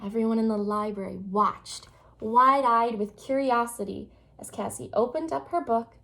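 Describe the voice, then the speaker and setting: A woman reading a children's picture book aloud with expressive, dramatic storytelling phrasing. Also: fairly high pitch, moderate pace, creaky.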